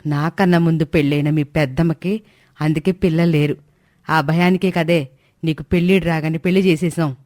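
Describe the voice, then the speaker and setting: Nagging middle-aged mother, woman acting, low voice, coaxing, worried, dry recording. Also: fairly even intonation, moderate pace, a little mellow, no echo, close to an everyday voice.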